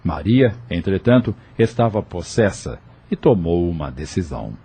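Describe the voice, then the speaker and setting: A man narrating an audio novel from a script in a measured storytelling manner. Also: deep voice, big pitch swings, mellow, husky. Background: music.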